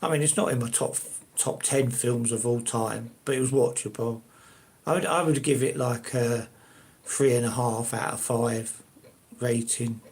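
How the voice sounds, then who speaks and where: A man's voice: mid-range voice, steady tone, a little slow, few pauses, darkish tone, slightly rough. An older man from South London with a Cockney-ish accent, chatting casually and hesitantly with viewers as the host of his own live stream. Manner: rambling, hesitant, many fillers and restarts.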